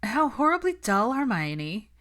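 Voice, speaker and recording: Audiobook character: snobbish, opinionated young woman, done by a female narrator, raised pitch, condescending and matter-of-fact. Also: very animated, a little slow, fairly bright tone. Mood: haughty, scornful.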